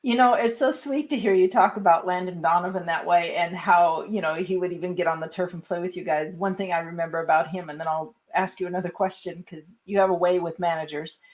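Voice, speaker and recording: A woman's voice: lowish voice, fairly even intonation, brisk, few pauses. A woman hosting an interview, talking casually and familiarly and wandering through long, chatty questions. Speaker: podcast host, interviewer.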